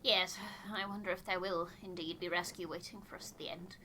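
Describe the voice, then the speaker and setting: A woman's voice: on the low side, fairly even intonation, fairly quick, bright, forceful tone, a little hiss or hum, poor audio. A woman voicing an anxious expedition wife in a game, formal and worried in manner.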